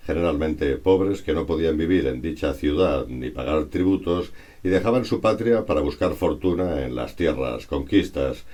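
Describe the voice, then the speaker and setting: A man's voice: a bass voice, a little mellow. A man reads a scripted historical narration in a formal, expository way. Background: music.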